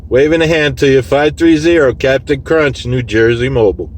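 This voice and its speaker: A man's voice: mid-range voice, moderate pace. Man on CB radio, noisy vehicle. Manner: clipped radio lingo, repeats call sign. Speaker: CB radio operator. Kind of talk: casual CB radio exchange. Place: inside a vehicle, mobile unit. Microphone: handheld phone recording in the vehicle. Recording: noisy phone recording of CB radio session. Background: clear radio and vehicle noise.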